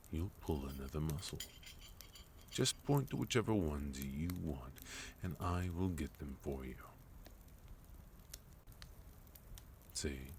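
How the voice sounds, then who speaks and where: A man's voice: very deep voice, slight background noise, heavily acted. A man playing a sophisticated villain leader in a fantasy ASMR roleplay, polite, formal and soothing as he dotes on a captive guest. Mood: gentle, doting.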